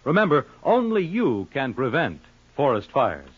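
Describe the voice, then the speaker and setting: A man's voice: medium pitch, wildly swooping pitch, measured pace, husky. A man reading scripted announcements in a 1940s radio announcer's style. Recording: dull lo-fi old radio recording.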